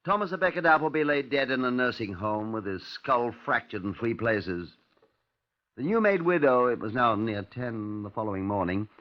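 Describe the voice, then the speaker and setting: An actor playing a courteous, persistent Scotland Yard chief inspector in an old radio crime drama, formal and measured. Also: male voice, mid-range voice, natural voice. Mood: grave narration. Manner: formal, measured, politely insistent. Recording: dull 1950s radio recording.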